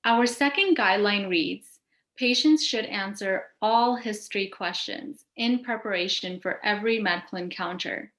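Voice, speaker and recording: A woman's voice: medium pitch, moderate pace, fairly bright tone. A woman hosting an educational show, reading from a script and putting questions to panelists. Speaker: host of a patient advocacy show. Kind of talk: scripted presentation with question prompts. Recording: echoey room sound.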